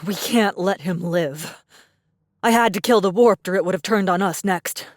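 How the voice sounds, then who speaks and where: A woman's voice: mid-range voice, moderate pace, dry recording, studio quality, natural voice. Woman playing a firm, hard-willed young survivor. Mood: cold, resolute. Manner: firm, accusing, unyielding. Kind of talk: audiobook drama acting.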